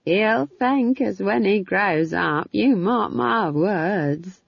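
Lady putting on a slow cracked old witch, husky, jolly and cheeky, storybook style, bit muffled. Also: mid-range voice, animated, dry recording, pitched above the performer's own voice, full character voice. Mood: gleeful, reminiscing. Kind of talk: audiobook character voice.

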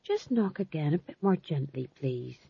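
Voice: medium pitch, sing-song, normal speed, breathy edge, dull audio. A narrator voicing a mild, fussy male king with a polite, hesitant manner that tips into exasperation.

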